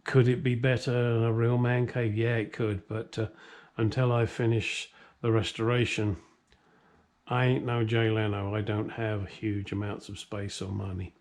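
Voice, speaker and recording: A man's voice: on the low side, little pitch movement, normal speed, a little mellow. A middle-aged man with a British accent hosting a workshop vlog, talking casually and ramblingly in a jokey, self-deprecating, blunt way. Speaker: host of a classic car workshop vlog. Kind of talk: casual, rambling talk to camera. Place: home workshop.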